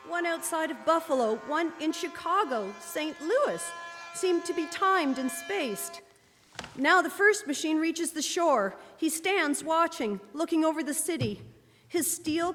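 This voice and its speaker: A woman's voice: high voice, a bit bright. A woman playing a radio reporter who watches an invasion with rising dread, in a live radio drama. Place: live performance in a church. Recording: reverberant church hall, noisy live recording.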